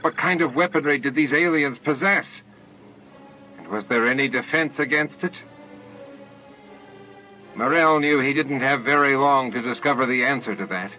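A man's voice: medium pitch, normal speed, long pauses. A man reading scripted fiction aloud as a dramatic audiobook narrator. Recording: dull lo-fi old audiobook tape. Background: music and added sound effects.

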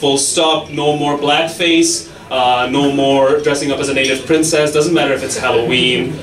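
A young man doing a stand-up set on a club stage with a handheld mic, delivering mock-authoritative rules and quick asides. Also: mid-range voice, fairly even intonation, a crowd in the background. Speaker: stand-up comedian. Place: comedy club stage, audience laughing. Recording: echoey club from far off, loud audience laughter.

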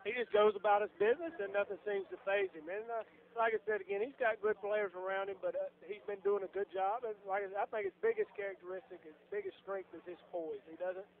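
A man's voice: high voice, big pitch swings, fast, creaky. A man from Georgia, a plain-spoken football coach giving a post-game radio interview and often repeating his phrases. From Georgia, USA. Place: locker room. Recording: muffled lo-fi radio broadcast recording.

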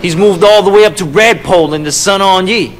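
A man's voice: sits high, brisk, natural voice. A man playing a young, brash, slangy small-time gangster in a video game cutscene. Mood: brash, chummy. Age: young adult. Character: chatty streetwise small-time Hong Kong gangster in jail. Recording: reverberant concrete room, game cutscene.

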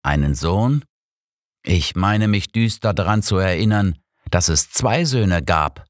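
A man's voice: sits low, lively intonation, takes it slowly, no echo, clean studio sound. Man voicing an emphatic old master detective. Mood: pondering. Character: old male master detective, shrewd and insistent.

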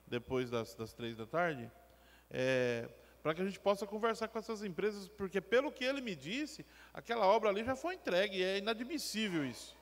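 A man's voice: sits high, lively intonation, moderate pace. A man speaking as a city councillor at a meeting table, insistent and emphatic. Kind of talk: council floor remarks. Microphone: table gooseneck mic. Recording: long hall reverb.

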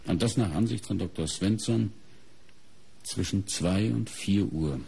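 An actor playing a sober, methodical middle-aged police inspector in a radio crime play, raspy and measured as he reasons aloud. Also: on the low side, warm tone, heavily acted. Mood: matter-of-fact. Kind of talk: German radio crime drama acting.

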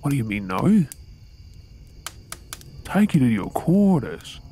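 A man's voice: mid-range voice, sing-song, slow, mellow, a little hiss or hum. A man with an Australian accent playing a resentful undercover soldier posing as a royal servant in an audio role-play, brooding in asides and formally deferential in address. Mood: startled, concerned. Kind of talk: scripted ASMR audio role-play acting.

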